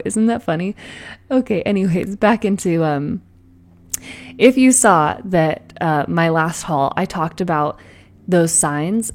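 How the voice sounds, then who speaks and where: A woman chatting casually while decorating a planner, bubbly and rambling, her voice a little raspy in the morning. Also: fairly low pitch, a little mellow. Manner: bubbly, rambling, frequent laughs and filler words. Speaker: planner vlogger. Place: at home with cats meowing.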